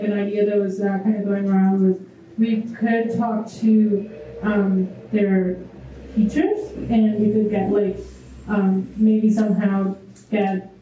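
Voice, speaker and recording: Hesitant young woman, dark and flat. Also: medium pitch, a little hiss or hum. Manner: hesitant, many fillers. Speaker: youth advisory member. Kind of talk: panel discussion. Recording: muffled, distant, echoey.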